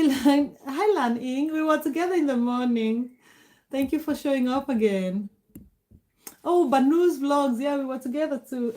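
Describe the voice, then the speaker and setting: A woman's voice: on the high side, warm tone. A middle-aged woman from Kenya with a Kenyan accent, a native Kikuyu speaker, chatting casually on a livestream, greeting viewers by name and thanking them. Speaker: livestream host. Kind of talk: casual live chat reading comments.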